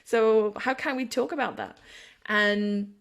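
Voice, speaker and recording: A woman with a French accent, talking unhurriedly in a casual podcast conversation. English is not her first language, so she stops and restarts as she hunts for words. Her pitch sits in the middle range. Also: fairly even intonation, slow, gravelly. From France. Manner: searching for words, many restarts. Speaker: podcast host. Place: home, at night.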